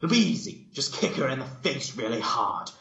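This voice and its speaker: A man's voice: mid-range voice, sing-song, moderate pace, gravelly, a bit bright, reverberant, highs cut off, pitched above the performer's own voice. A man voicing a bossy, impatient female cartoon unicorn pony with a scolding, emphatic delivery in a fan story reading. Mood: bossy, irritated.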